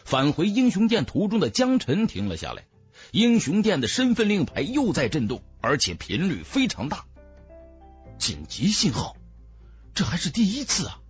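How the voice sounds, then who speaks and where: A man narrating an audiobook in a dramatic, theatrical, expressive storyteller's manner. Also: on the high side, normal speed, slightly rough, faint music behind.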